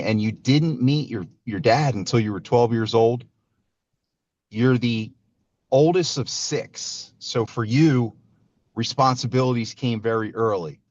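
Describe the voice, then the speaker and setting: A man's voice: medium pitch, dry recording, highs cut off. A man hosting a podcast over a remote call, earnestly reading out his guest's story and calling him brother. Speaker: podcast host. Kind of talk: casual interview intro. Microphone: remote video call.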